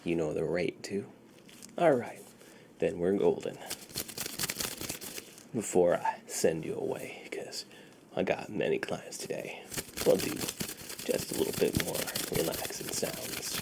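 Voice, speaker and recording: A man speaking softly and breathily, often whispering, in an unhurried, reassuring ASMR roleplay as a friendly dealer. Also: low voice, expressive, gravelly, background noise. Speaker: ASMR performer. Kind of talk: soft-spoken ASMR roleplay.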